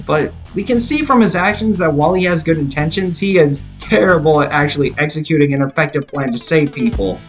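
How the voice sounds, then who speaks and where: A man reading a scripted movie review as a video reviewer, in a measured, emphatic, articulate way. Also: a little high. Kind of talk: scripted film review narration. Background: rock guitar music.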